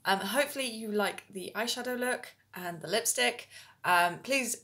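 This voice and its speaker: A young woman, slightly hoarse from a cold, walks through a makeup tutorial at home. She talks in a relaxed, step-by-step way with frequent ums, speaking to a camera about arm's length away in a small room. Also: medium pitch, normal speed. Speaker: makeup vlogger.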